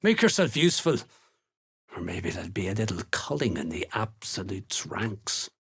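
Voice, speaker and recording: An actor playing a gruff, menacing cult slaver in a video game, with a rough voice and a curt, contemptuous manner. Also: male voice, lowish voice, sing-song, moderate pace, heavy background noise. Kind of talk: video game character dialogue.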